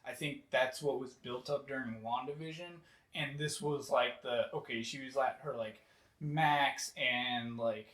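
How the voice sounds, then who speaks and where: A man's voice: medium pitch, steady tone, unhurried, a bit bright. Young man chatting in an echoey room. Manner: rambling, many "like" fillers. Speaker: film review panelist. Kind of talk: casual group chat. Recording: roomy echo.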